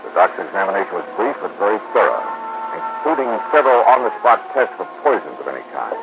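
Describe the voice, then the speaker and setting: Cool, low-voiced American radio detective. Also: male voice, sing-song, quick pace, music in the background. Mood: matter-of-fact narration. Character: cool, wry hard-boiled male insurance investigator. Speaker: lead actor narrating as investigator. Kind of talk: old-time American radio drama acting. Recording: noisy, tinny old radio recording.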